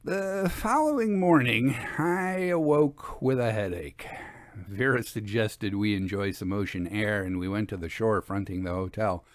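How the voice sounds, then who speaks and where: A man narrating a scripted first-person story in a measured, expressive, literary manner. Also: on the low side, dry recording, studio quality.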